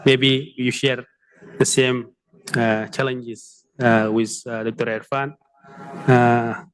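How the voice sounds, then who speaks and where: A man's voice: medium pitch, little pitch movement, takes it slowly, a bit bright, clear, resonant tone, low-quality recording. A man moderating a conference panel, hesitant, with many fillers and restarts.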